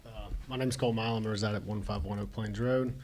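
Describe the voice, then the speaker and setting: A man's voice: lowish voice, steady tone, fast talker, darkish tone. Young guy, casual and plainspoken, speaking at a hearing, faint hiss. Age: young adult. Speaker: applicant buying land. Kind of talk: public hearing testimony.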